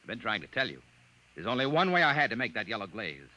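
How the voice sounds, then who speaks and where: A man playing a determined, wry, stubborn space agent in 1950s radio drama, brisk and plain-spoken. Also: lowish voice, big pitch swings, bright, forceful tone, full character voice. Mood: defensive, explaining. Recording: noisy vintage 1950s radio recording.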